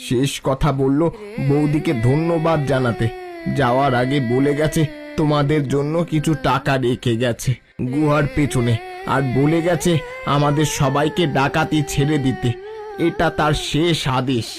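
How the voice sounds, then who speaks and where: A humble bandit henchman from a cartoon story, played by a man. His voice is on the high side for a man, and he talks earnestly and respectfully. Light music plays underneath. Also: fairly even intonation, normal speed, lightly acted. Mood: grieving. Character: loyal male bandit henchman, humble and reforming. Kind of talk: Bengali cartoon story dialogue.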